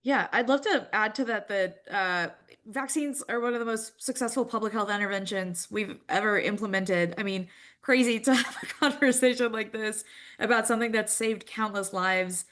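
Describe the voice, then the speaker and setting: A woman's voice: mid-range voice, normal speed, fairly bright tone. A woman from India speaking as a panelist in a webinar, conversational, with frequent "like". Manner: conversational, uses "like" often.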